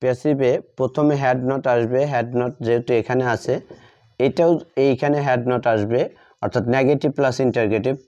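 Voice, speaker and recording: A man teaching a grammar lesson step by step, deliberately repeating phrases and answering his own questions. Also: mid-range voice, steady tone, mellow. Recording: dull lo-fi sound.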